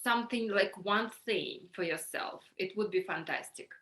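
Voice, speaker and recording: Woman, Ukrainian accent, middle-aged, halting with fillers, bright tone, echoey video call audio. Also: medium pitch. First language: Russian or Ukrainian. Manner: halting, many fillers and restarts. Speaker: workshop facilitator. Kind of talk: online workshop talk. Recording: echoey room.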